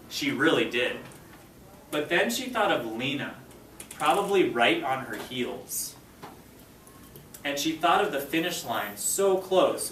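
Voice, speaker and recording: A male teacher addresses a class with clear, step-by-step direction, moving between instruction and reading aloud. His mid-pitched voice is picked up from across a roomy classroom, with faint noise in the recording. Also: fairly bright tone, distant mic. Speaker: fifth grade teacher. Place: indoor school classroom.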